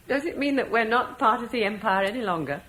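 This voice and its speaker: A gracious Roman-British wife in a classic radio drama. She speaks brightly with a touch of amusement, and her pitch moves a lot. She sounds poised and assured. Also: lively intonation, moderate pace. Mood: incredulous. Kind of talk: 1950s British radio play acting.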